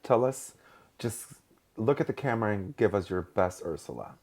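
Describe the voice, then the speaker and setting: Guy hosting a podcast, low husky voice, easy laugh, asks lots of questions. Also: sing-song, moderate pace, a little mellow. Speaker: podcast host. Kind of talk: casual interview.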